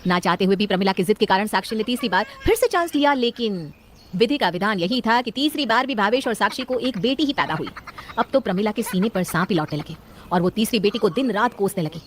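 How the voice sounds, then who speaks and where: A woman's voice: medium pitch, lively intonation, fast, slight background noise. A woman narrating a scripted cartoon family story as its storyteller.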